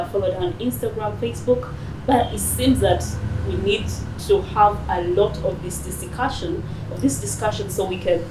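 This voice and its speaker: A woman presenting and interviewing as a television talk show host in a shop. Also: mid-range voice. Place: cosmetics shop, indoors. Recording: roomy, distant mic, noisy background ambience.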